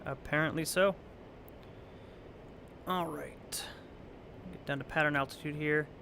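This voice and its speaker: Hoarse, gravelly man talking through a flight. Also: a little high, unhurried, a little hiss or hum. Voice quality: raspy. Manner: relaxed, thinking aloud while flying. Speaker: flight sim streamer. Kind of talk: casual gameplay commentary.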